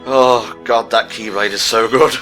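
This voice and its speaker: A man chats casually as he hosts a video game playthrough. His voice is bright and forward at an average male pitch, over light game music. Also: fairly even intonation, unhurried. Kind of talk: casual gameplay commentary.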